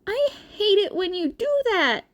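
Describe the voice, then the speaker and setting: Woman voicing a high, flustered teenage boy. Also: sing-song, unhurried, heavily acted. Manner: stammering, breathy exclamations, easily embarrassed. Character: flustered, anxious teenage boy, sweet and nerdy. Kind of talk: character dialogue in a fan fiction reading.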